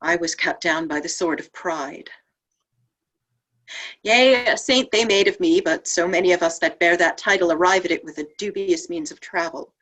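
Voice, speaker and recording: A woman playing a saintly martyred spirit in a drama read, speaking in lofty, measured lines, tender yet wry. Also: on the low side, a bit bright, low-quality recording. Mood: wry, bitter. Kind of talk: poetic dramatic table read. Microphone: video call.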